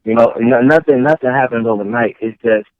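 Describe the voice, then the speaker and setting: Low-voiced Chicago man with Southern tinge, muffled audio. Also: a little fast. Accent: Chicago with slight Southern tinge. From Chicago, later Mississippi. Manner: conversational, frequent "you know what I'm saying". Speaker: rapper being interviewed. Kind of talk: casual interview chat.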